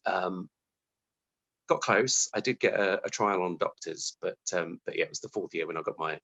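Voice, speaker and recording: A man's voice: deep voice, normal speed, vocal fry, fairly bright tone. A British man answering interview questions, talking reflectively and conversationally about his own working life, often acting out his thoughts. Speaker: interview guest, TV writer.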